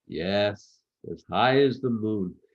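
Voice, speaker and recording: Man as an encouraging, unhurried father gnome. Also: fairly low pitch, dull audio.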